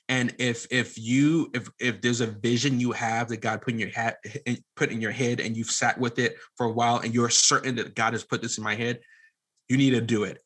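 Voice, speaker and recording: A man's voice: mid-range voice, fairly bright tone. A man speaking as an interview guest in a casual, colloquial, earnest and self-deprecating way. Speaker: podcast guest, audio drama producer and director.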